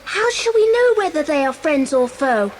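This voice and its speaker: High, lilting little girl. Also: big pitch swings, normal speed. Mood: timid, curious. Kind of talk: children's audio adventure dramatisation. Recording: reverberant old cassette with faint noise.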